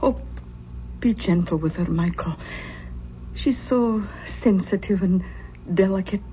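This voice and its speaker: An actress playing an anxious, superstitious older aunt in an old-time radio drama. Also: female voice, mid-range voice, dark tone, a little hiss or hum, lightly acted. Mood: worried. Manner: hesitant, halting, fretful. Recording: muffled old radio recording.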